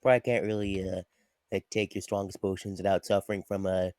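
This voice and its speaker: A man voicing a polite, simple young adventurer in a tabletop game, speaking plainly and haltingly. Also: sits low, little pitch movement, a little mellow, dry recording, studio quality, pitched below the performer's own voice.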